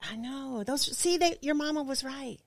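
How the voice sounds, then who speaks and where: A woman's voice: sits high, moderate pace, dry recording. A woman casually chatting and reminiscing as a podcast guest, playful and wry.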